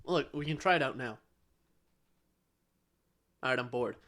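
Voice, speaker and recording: A man's voice: medium pitch, unhurried, fairly bright tone. A man co-hosting a podcast in casual chat, rambling and sarcastic, with an occasional stutter. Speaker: podcast co-host.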